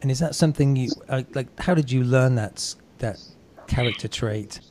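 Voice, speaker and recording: A man hosting a podcast, interviewing conversationally and rephrasing his questions as he goes, with softened wording. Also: medium pitch, normal speed, slight background noise.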